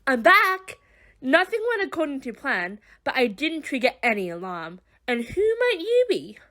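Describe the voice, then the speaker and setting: A woman voicing an excitable, exasperated heroine in an audio drama, with exclamatory, sarcastic complaints. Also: sits high, moderate pace. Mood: upbeat, brisk. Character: exasperated, excitable woman who teleports with gadgets. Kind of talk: amateur audio drama acting.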